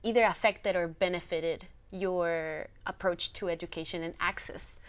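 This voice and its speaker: A woman's voice: fairly low pitch, steady tone, normal speed, clean tone. A woman interviewer hosting a studio interview, encouraging her guest with frequent murmurs of agreement. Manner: friendly, encouraging with frequent backchannels. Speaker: interviewer, executive producer.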